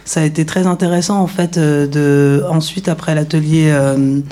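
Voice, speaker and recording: Mellow-voiced woman, hesitant and casual. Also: low voice, flat delivery, moderate pace. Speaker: radio workshop participant. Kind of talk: casual round-table talk.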